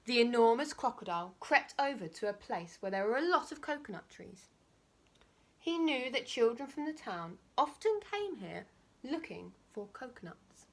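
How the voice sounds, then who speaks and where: A woman reading a children's storybook aloud like a primary school teacher, with expressive, emphatic and dramatic phrasing. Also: on the high side, normal speed.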